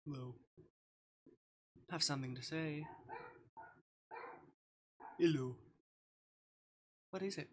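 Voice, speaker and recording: A man's voice: on the high side, slow pace, pauses often. A man livestreaming a game casually, reading visual novel dialogue aloud with offhand, murmured asides. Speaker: streamer. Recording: clear background noise.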